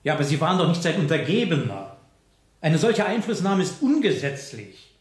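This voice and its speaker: A defence lawyer presses his case in a radio play with formal indignation. His voice is on the high side and moves in lively swings. The courtroom sound is echoey. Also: moderate pace. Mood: challenging. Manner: formal, challenging. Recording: echoey 1970s radio drama studio.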